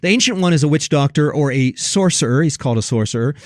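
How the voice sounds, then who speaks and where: A man's voice: on the high side, expressive, quick pace, no room sound, studio quality. A man hosting a religious discussion, giving opinionated commentary in an emphatic, preacherly way that leans on key words.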